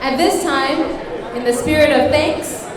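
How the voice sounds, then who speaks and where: A woman's voice: high-pitched, unhurried. A woman emceeing a fundraising gala, effusively thanking the speaker and announcing sponsors. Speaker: event emcee. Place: banquet hall. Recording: distant mic in echoey hall with crowd. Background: audience murmur.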